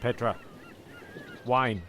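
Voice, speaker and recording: A man's voice: medium pitch, steady tone, drawn-out words, fairly bright tone, a little hiss or hum. A man narrating a comic audio play and speaking to its characters.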